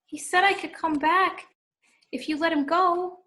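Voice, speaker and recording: A woman's voice: very high voice, fairly even intonation, measured pace, bright, forceful tone, exaggerated voice. A woman playing a needy, eerie little boy who pleads in an amateur table read. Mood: pleading.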